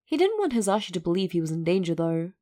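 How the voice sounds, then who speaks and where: Lower-pitched woman reading a story. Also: very animated, quick pace, dark tone. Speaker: narrator, fan fiction reader. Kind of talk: scripted story narration.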